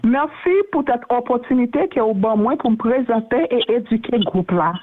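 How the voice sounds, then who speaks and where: A woman's voice: on the high side, expressive, dry recording. Woman interpreter on the phone. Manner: careful, deliberate, speaks up to slow the speaker down. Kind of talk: conference call interpreting. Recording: dry, tinny phone line.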